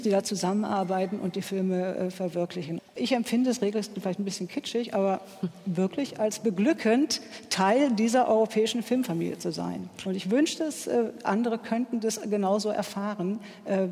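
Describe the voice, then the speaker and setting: Panel guest: a film-world man with a notably high voice who talks earnestly with many fillers, heard in a reverberant hall. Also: moderate pace, vocal fry, dark tone. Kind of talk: panel discussion.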